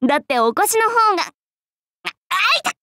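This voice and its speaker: A woman's voice: very high-pitched, very animated, a little fast, sharp tone, full character voice. An actress playing a friendly young swordswoman in a game, speaking in a familiar, flustered, apologetic manner. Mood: concerned, flustered. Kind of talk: Japanese game character dialogue.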